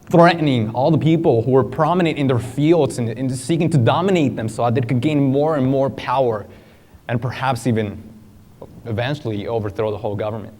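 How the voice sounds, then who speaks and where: A man's voice: medium pitch, darkish tone. A young man from Colombia, a native Spanish speaker, giving an earnest personal testimony speech on a large conference stage with a lapel mic. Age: young adult. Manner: earnest, storytelling, moves hands while standing. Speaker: student speaker.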